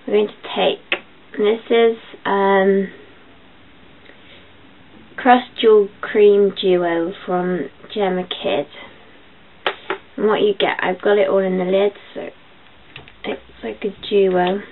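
A young woman with a croaky voice, chatting casually through a makeup tutorial in her bedroom, with filler ums as she goes. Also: medium pitch, steady tone, slow. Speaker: beauty vlogger. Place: small bedroom. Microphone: built-in webcam mic. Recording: tinny lo-fi webcam audio, faint hiss.

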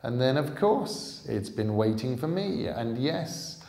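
A man acting a loud, commanding villain. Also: mid-range voice, very animated, takes it slowly, gravelly, full character voice. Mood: gloating. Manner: commanding, theatrical, gloating. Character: menacing, arrogant adult male killer. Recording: roomy, echoing live hall sound.

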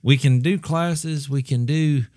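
A man's voice: on the high side, a little slow, darkish tone, no echo. A middle-aged man on a podcast, talking earnestly and passionately about church, close to a studio mic in a small room. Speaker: podcast co-host. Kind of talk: casual conversation. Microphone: close studio mic with headphones.

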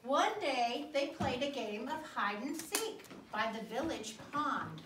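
A woman's voice: high-pitched, lively intonation, slow pace. A middle-aged woman reading a picture book to children in a classroom, expressively drawing out words and checking in with questions. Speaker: guest storyteller reading to schoolchildren. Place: indoor school classroom. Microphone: distant phone or camera across the room. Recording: roomy, distant mic, noisy classroom.

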